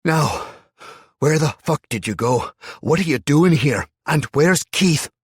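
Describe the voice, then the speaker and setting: A man's voice: medium pitch, lively intonation, normal speed, slightly rough, no echo, clean studio sound, pitched above the performer's own voice. A man reading a story, voicing a tough young woman captor. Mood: snarling. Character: tough young woman captor, Scottish-tinged, turns nasty.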